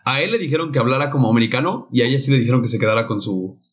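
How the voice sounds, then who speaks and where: Young man, Mexican Spanish accent, casual blunt podcast banter, dull recording. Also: medium pitch. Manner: blunt, swears freely, quick asides. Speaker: podcast co-host. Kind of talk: casual chat.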